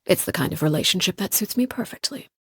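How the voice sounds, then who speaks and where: An actress playing a cold, composed, menacing female commander in a video game, speaking in a measured, formal, politely threatening way with a breathy voice. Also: lowish voice, expressive, no echo, studio quality. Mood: reflective, detached. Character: cold, composed, menacing woman commander called Father by orphans. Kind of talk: scripted video game character voice lines.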